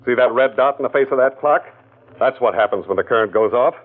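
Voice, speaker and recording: A man's voice: mid-range voice, fast, close to an everyday voice. An actor playing a stern male detective calling out a liar, in a 1940s radio drama. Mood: accusing. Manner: accusing, matter-of-fact. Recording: tinny old radio broadcast.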